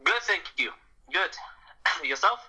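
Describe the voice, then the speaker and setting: A man's voice: high voice, expressive, slow pace, bright, forceful tone, slightly rough. A man from Sweden, a guest on a podcast, talking casually over a phone call with many hesitations. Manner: hesitant, lots of uhs and restarts. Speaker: podcast guest, professional soccer player. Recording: muffled phone line.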